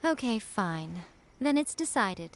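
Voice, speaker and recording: An actress playing a sly, self-assured shrine priestess in an anime video game, speaking in a poised, teasing and nonchalant manner. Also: female voice, on the high side, big pitch swings, slow pace, heavy background noise, heavily acted. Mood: businesslike. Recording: music behind the voice.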